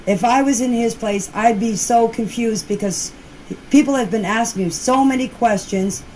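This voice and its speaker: A woman's voice: on the high side, normal speed, darkish tone. A woman giving a casual, rambling personal account in plain, folksy words, recorded as voice messages. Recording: noisy voice-message clips with background ambience.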